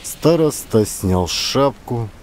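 A man's voice: medium pitch, animated, slow pace, a little mellow, a little hiss or hum. A man narrating an audiobook as an actor, with theatrical, emphatic storytelling. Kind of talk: dramatic scripted narration.

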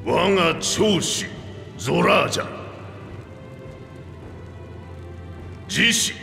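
A man playing an aging two-headed reptilian king in a video game, commanding, frank and gruff in his manner. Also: fairly high pitch, big pitch swings, a little slow, bright, forceful tone, full character voice. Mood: solemn, commanding. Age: older. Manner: gruff, regal, plain-spoken old-ruler speech. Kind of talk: Japanese video game voice acting. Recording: echoey hall reverb, background music.

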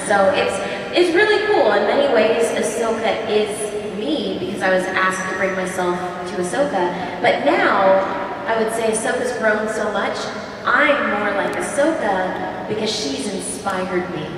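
A woman on a convention panel stage, telling candid, animated stories into a handheld mic. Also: mid-range voice, moderate pace. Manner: animated, candid anecdotes with self-deprecating laughs. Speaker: panel guest, voice actor. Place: large convention hall stage. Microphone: handheld microphone. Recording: echoey hall, distant mic, crowd.

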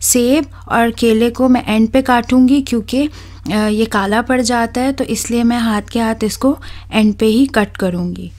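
A young woman hosts a cooking video and walks the viewer through each step. Her voice sits a little on the high side. She speaks steadily and clearly, close to the mic in a voice-over. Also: measured pace. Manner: steady, instructive, addresses viewers directly. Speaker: cooking channel host. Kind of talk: voice-over recipe tutorial.

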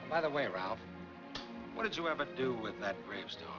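A man's voice: mid-range voice, expressive, brisk, close to an everyday voice. A man playing a breezy noir character, dull soundtrack. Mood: breezy, probing. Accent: American. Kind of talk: 1940s film noir acting. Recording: dull 1940s film soundtrack, loud music.

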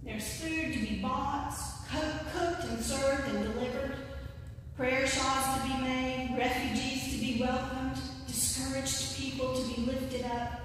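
A middle-aged woman preaches a measured, earnest sermon in a small chapel. Her voice sits a little high and she takes her time. She is caught from a distance, and the words ring out in a long hall echo. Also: steady tone, a little hiss or hum. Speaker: lay preacher. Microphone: lectern mic from a distance. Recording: distant mic, echoey hall.

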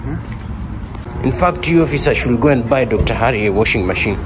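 A man's voice: mid-range voice, expressive, fairly quick. Kenyan man vlogging on a busy street. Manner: playful, acts out comic scenes. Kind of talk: casual vlog narration, walking outdoors. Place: city street outdoors, then a laundromat. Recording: street traffic noise behind. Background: passing cars.

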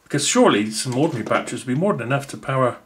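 A man's voice: mid-range voice, animated, racing, a bit bright. A man, thinking aloud with many fillers as he unboxes a gadget at a table indoors. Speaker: unboxing video host. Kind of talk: casual unboxing commentary.

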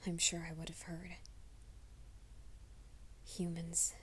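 A young man hiding a werewolf nature, voiced by a woman, his voice low and his speech slow. He mutters to himself in urgent, breathy whispers, tense and secretive. A faint hiss of noise sits under this ASMR roleplay audio. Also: monotone, fairly bright tone. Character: young male werewolf soldier, anxious and secretive.

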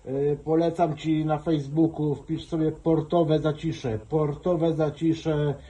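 A man's voice: on the high side, flat delivery, slow pace, mellow. A man working as an amateur radio operator, chatting in a friendly, easygoing way during a radio contact. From Poland. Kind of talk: casual ham radio contact.

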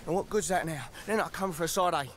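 An actor playing a young, insecure but eager British private in a cinematic video game. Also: male voice, fairly high pitch, fast, close to an everyday voice. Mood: bitter, defensive. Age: young adult. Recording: loud music and vehicle noise behind.

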